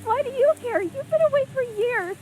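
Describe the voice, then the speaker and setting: An actress playing a distraught, bitter young woman in a video game, shrill and emotional. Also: expressive, normal speed, a little mellow, slight background noise. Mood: upset, bitter. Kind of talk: dramatic game voice acting.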